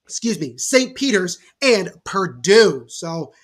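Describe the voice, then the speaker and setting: A young man from New Jersey with a stuffy cold, giving excitable play-by-play commentary on a livestream and talking to his chat. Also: sits high, slow. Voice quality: nasal. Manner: excitable, bursts louder on big plays. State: congested from a cold.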